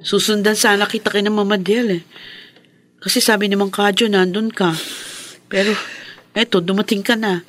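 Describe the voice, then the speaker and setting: A woman's voice: medium pitch, little pitch movement, normal speed, pauses often, soft background music, natural voice. A woman playing a concerned, supportive young friend in a radio drama.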